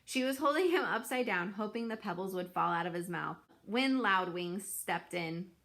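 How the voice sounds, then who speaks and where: A woman reading a children's book aloud in an expressive, deliberate, dramatic storytelling style. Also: medium pitch.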